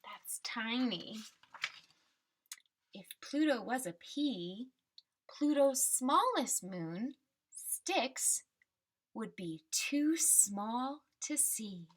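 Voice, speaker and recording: A woman with a high, breathy-husky voice reads aloud to children as a teacher would. Her pitch moves in an animated way and her pace is unhurried. She explains things simply and deliberately. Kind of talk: children's picture book read-aloud and simple lesson.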